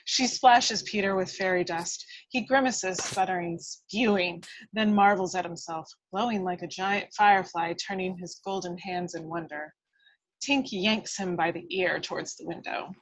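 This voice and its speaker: A woman reading screenplay action lines aloud with dramatic, expressive delivery. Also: on the low side, moderate pace, no echo, low-quality recording. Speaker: reader of action descriptions. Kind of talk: scripted narration, screenplay table read.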